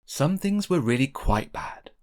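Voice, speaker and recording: Animated man reading a children's story. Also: medium pitch, measured pace. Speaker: storybook reader. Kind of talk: expressive children's read-aloud narration.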